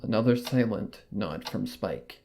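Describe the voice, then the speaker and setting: A man narrating a first-person horror fanfiction in a measured, brooding way. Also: fairly low pitch, gravelly, mellow, soft background music.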